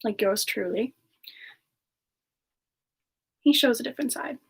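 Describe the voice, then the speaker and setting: A woman playing a sweet, bashful female demon singer, soft-spoken and hesitant, in a semi-ASMR livestream chat. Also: a little high, unhurried, gravelly, slightly rough, heavily acted. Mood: bashful, friendly.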